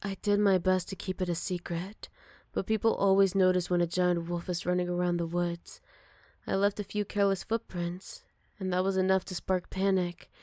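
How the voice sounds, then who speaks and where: A woman voicing a gentle, motherly older werewolf, wistful and protective, in a soft and caring ASMR roleplay. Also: lowish voice, little pitch movement, no room sound, studio quality, natural voice. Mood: wry, reflective. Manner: soft, caring, unhurried, slipping into whispers. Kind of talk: ASMR roleplay, intimate one-sided dialogue to listener.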